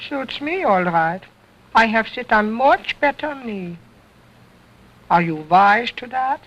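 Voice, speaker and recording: A man's voice: very high voice, animated, slow pace, a bit bright, pitched above the performer's own voice, exaggerated voice. A man voicing his cheeky ventriloquist dummy, a fresh-mouthed little boy who talks back in broken English. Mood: impudent. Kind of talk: vaudeville ventriloquist routine. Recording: dull lo-fi old recording.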